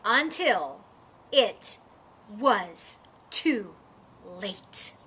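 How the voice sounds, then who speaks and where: A woman reading a children's picture book aloud in an expressive, deliberate storytelling way. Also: mid-range voice, husky. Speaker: story time reader.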